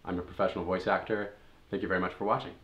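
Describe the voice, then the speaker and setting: A man's voice: low voice, flat delivery, very fast, a bit bright, roomy sound, lo-fi sound. A young man in his twenties, a voice actor, speaking plainly and friendly to the camera. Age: 20s. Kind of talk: direct-to-camera sign-off.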